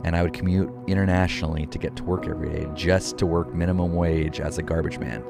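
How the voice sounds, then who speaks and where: A man's voice: low voice, little pitch movement, gravelly. A man in his thirties from the United States, a furniture maker speaking reflectively in a documentary interview, over background music. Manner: soft-spoken, reflective, unhurried. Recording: music bed underneath.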